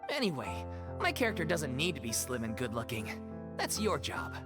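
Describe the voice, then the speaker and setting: A woman's voice: a bass voice, wildly swooping pitch, normal speed, full character voice. A woman voicing a hearty, stubborn, food-loving stage actor in a video game. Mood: carefree, stubborn. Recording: loud music behind the voice.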